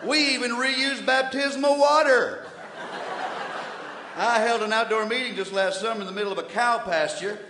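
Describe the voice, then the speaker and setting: A man's voice: extremely high pitch, normal speed. A man playing a blustering, indignant preacher, delivering a comic mock sermon with emphatic pulpit cadence on a live stage. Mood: fired-up. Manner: emphatic pulpit delivery, swinging from muttered asides to hollering. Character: blustering, indignant old-fashioned revival preacher, male. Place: large indoor theatre stage before an audience. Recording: echoey hall, live stage, audience noise.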